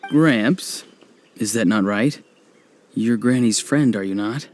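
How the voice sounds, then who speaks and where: Earnest, theatrically poetic young philosopher. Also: male voice, lowish voice, slow pace, a little mellow. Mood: earnest, hurt. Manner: formal, theatrical, solemnly flowery. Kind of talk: video game character acting.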